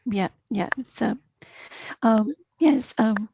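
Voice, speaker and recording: A woman from Bangladesh speaks with a gravelly, fry-tinged voice at a middling pitch, earnest and encouraging as she closes a guest lecture. She halts and restarts often. The sound is dry and close over a video call. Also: very slow, mellow, dry recording. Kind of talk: closing remarks of an online lecture.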